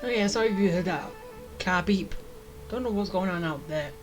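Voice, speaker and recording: A woman's voice: lowish voice, lively intonation, brisk. A woman giving casual commentary as she plays through a video game. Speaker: gaming streamer. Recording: game music behind the voice.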